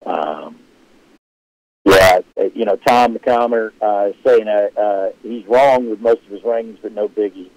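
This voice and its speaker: A man hosting a casual live sports chat, talking loudly and rambling with many fillers and restarts. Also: on the low side, a little slow, no echo. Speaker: sports show host answering viewer questions. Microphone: live stream setup. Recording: dull lo-fi livestream audio.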